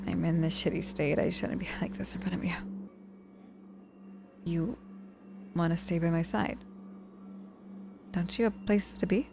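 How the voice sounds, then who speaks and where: A woman acting a proud, guarded young witch hurt in hospital, halting and grudgingly tender, in an intimate ASMR audio roleplay. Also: fairly low pitch, creaky, background noise. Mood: weary, softly incredulous.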